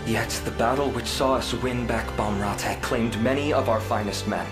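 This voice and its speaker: An actor playing a proud, dutiful uncrowned prince in a fantasy game, with regal, formal and deliberate phrasing. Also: male voice, mid-range voice, steady tone, close to an everyday voice. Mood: solemn. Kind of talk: dramatic fantasy game voice acting. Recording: loud orchestral music behind.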